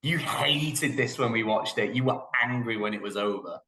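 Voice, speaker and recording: A man's voice: mid-range voice, normal speed, bright, forceful tone. A man chatting casually as a panelist on a podcast, recorded with a roomy sound. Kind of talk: casual chat.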